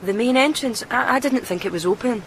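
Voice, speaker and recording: A timid, meek woman in a radio drama played by an actress. Her voice is on the high side and hesitant, always politely apologetic, over faint background noise. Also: a little fast, close to an everyday voice.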